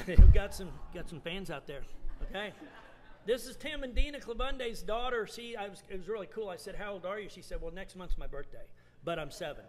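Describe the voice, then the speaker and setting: Fifties male pastor, high-pitched, chatty and jokey, echoey church with faint crowd. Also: expressive, fairly quick, darkish tone, slight background noise. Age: 50s. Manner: chatty, joking, enthusiastic. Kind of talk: warm-hearted baptism introduction. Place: church sanctuary, seated at baptistry. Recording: reverberant church with congregation.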